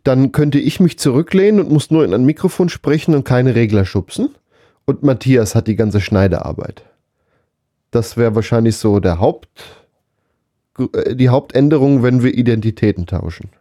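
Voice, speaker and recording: A man's voice: medium pitch, normal speed, warm tone, no echo. A man co-hosting a podcast in casual chat, with a dry, joking manner. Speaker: podcast and radio co-host who runs the studio.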